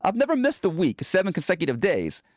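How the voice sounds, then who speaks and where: A man narrates a self-help audiobook as its author, in a slightly high voice. His pitch moves around a lot as he addresses the listener directly in a conversational, motivational style. The sound is dry, with no room echo. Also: huge pitch swings, fast talker. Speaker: author reading own book aloud.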